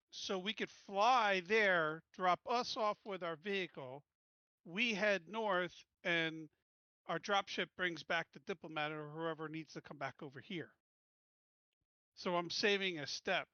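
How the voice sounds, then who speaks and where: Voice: medium pitch, measured pace, pushed and bright, smooth, dry recording, muffled recording. A player in a tabletop roleplaying game who plans aloud and stammers through restarts. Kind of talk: casual tabletop game planning.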